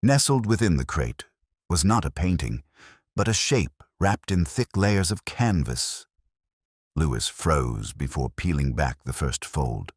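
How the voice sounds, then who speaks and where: A man narrating a scripted first-person detective mystery in a solemn, literary, measured way. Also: rumbling low, sing-song, husky, no room sound, studio quality.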